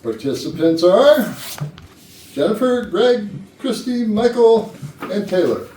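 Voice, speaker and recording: An actor playing a flustered, officious boss at an office Christmas party in a staged comedy reading. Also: male voice, high voice, animated, takes it slowly, warm tone. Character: flustered man boss hosting office Christmas party, trying to keep order. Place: indoor rehearsal room, mic at a distance. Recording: roomy distant rehearsal recording, faint noise.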